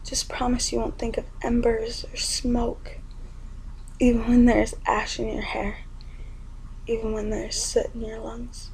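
A young woman performing spoken word poetry in an intimate, often whispered delivery with a gravelly edge. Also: fairly high pitch, monotone, measured pace, a little mellow, slight background noise, rough recording.

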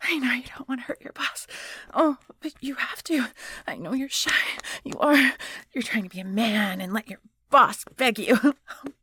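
A woman playing a defiant, domineering female boss in an adult audio drama, with breathy delivery that often drops to a hush. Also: a little high, moderate pace, a little hiss or hum. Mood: breathless, taunting. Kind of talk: erotic audio roleplay acting.

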